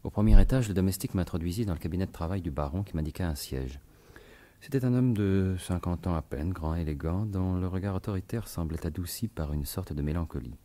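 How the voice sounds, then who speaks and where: Deep-voiced, self-assured gentleman thief played by a man. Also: a little fast, natural voice. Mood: composed narration. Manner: assured, articulate, formal phrasing. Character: confident gentleman thief turned investigator, wry and probing. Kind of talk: French radio mystery drama acting in 1950s manner.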